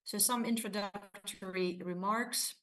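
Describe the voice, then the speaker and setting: A woman whose first language is Hungarian, giving a formal academic conference talk over a video call, halting often on fillers. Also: medium pitch, steady tone, a bit bright. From Romania. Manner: hesitant, many fillers, formal phrasing. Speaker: conference presenter, associate professor. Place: university office, construction noise nearby.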